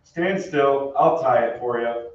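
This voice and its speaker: A man's voice: mid-range voice, takes it slowly, close to an everyday voice. A man acting a brash American regular guy, echoey. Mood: reassuring. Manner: breezy, slangy, streetwise. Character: brash, good-natured American soldier, slangy and protective. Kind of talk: old-time radio drama acting. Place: on stage in a theatre. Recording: distant, echoey, muffled stage, faint noise.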